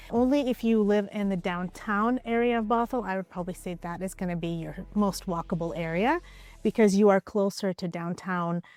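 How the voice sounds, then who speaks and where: A woman from Ukraine, a real estate agent, answering interview questions in a relaxed, conversational way, often hedging with "I would probably say.". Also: mid-range voice. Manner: relaxed, conversational, with frequent hedges.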